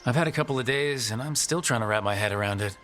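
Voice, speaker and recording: A man plays a composed young hero leading a party in a fantasy game. He speaks at a middling pitch in a measured, dry way over faint music. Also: a bit bright, lightly acted. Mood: grave, dry. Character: level-headed young male adventurer leading a rescue party. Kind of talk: video game hero acting.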